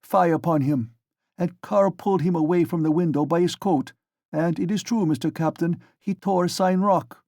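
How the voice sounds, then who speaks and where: A man's voice: on the high side, a little mellow, no room sound, clean studio sound, voice pushed up, close to an everyday voice. A man putting on a chattering German landlady. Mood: indignant pleading. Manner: rapid pattering, repeated "Mister Captain". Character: flustered German landlady, garrulous and defensive.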